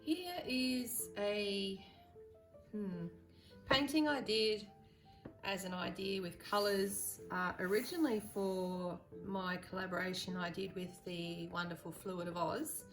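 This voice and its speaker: A woman with a croaky voice hosting a casual painting tutorial, chatting and thinking aloud as she works. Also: medium pitch, fairly even intonation, takes it slowly, faint music behind, rough recording. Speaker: art tutorial host.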